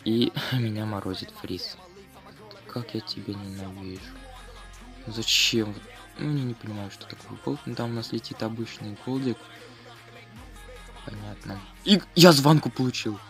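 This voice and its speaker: A man's voice: lowish voice, moderate pace, a bit bright, soft background music. A man giving casual, slangy running commentary on his gameplay for viewers, as host of a gaming video.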